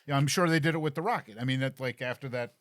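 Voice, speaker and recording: A man's voice: mid-range voice, fast talker, fairly bright tone, no echo. Wisecracking man, dry close sound. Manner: wisecracking, rambling explanations. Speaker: podcast co-host. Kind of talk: casual podcast banter.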